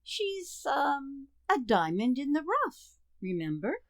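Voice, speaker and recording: Woman playing a patient, unhurried, placating sitcom wife. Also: high voice, wildly swooping pitch, dry recording, clean studio sound, full character voice. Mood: gently wry. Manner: soothing, measured. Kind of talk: amateur radio sitcom acting.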